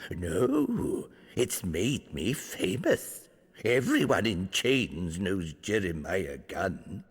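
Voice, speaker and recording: An actor playing a cheerful old male prisoner, proud of surviving torture, with a creaky voice and jaunty gallows humour in a video game. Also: low voice, very animated, extremely slow, exaggerated voice. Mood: wry, proud. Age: older. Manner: jaunty, darkly humorous. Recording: long reverb, music underneath.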